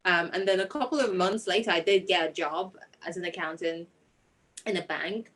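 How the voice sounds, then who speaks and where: Seeking a woman with a Nigerian accent and a slightly low voice to play an interviewed singer-songwriter sharing her story. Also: moderate pace, fairly bright tone.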